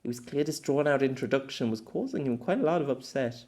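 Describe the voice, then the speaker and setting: A man reading fiction aloud as an amateur audiobook narrator, in an expressive storytelling style. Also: medium pitch, quick pace.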